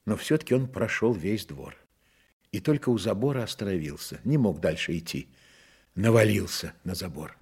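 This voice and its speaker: An actor narrating a Soviet radio drama as an earnest literary storyteller. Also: male voice, lowish voice, lively intonation, normal speed, darkish tone, slightly rough, full character voice. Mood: earnest, dramatic. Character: earnest storyteller of a young man's trials.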